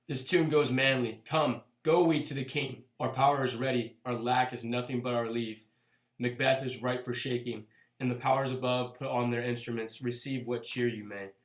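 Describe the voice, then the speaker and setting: A man's voice: medium pitch, fairly even intonation, moderate pace, natural voice. A man acting a resolute noble leader in a Shakespearean radio play, exhorting in declamatory verse in an echoey room. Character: resolute young noble leader urging revenge.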